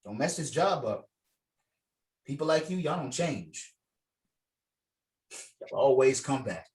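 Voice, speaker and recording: A man's voice: medium pitch, animated, takes it slowly, darkish tone. A man playing a stern, sneering parole officer in a script table read, threatening and mocking, with clipped orders. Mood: scornful. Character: stern, menacing, sneering male parole officer on a video call. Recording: distant mic on online call.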